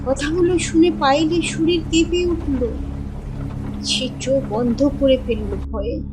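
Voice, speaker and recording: A woman narrating a scripted horror story in a dramatic, measured storytelling manner. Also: high-pitched, noisy background.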